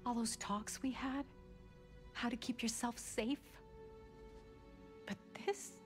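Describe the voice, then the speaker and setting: A woman's voice: fairly high pitch, steady tone, takes it slowly. An actress playing a protective, loving mother of a teen hero, earnest and slipping into Spanish phrases. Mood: worried. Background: music.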